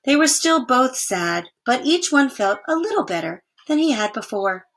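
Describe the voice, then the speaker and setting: A woman reading a children's story aloud in an expressive narrating style. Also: high voice, moderate pace. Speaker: story reader.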